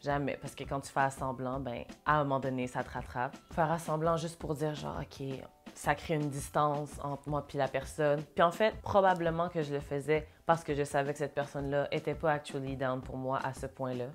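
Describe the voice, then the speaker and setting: A woman's voice: low voice, flat delivery, a little fast, soft background music. A woman from Montréal with a Québécois French accent, chatting casually as an interview guest and mixing English phrases into her French. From Montréal, Québec. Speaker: interview guest, singer and dancer.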